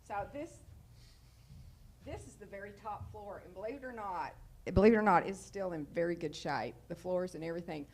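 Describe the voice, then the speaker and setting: A woman's voice: lowish voice, brisk, darkish tone, a little hiss or hum. Chatty woman at a meeting. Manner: chatty, folksy, repeats herself. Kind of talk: informal presentation at a public meeting. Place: city council meeting room.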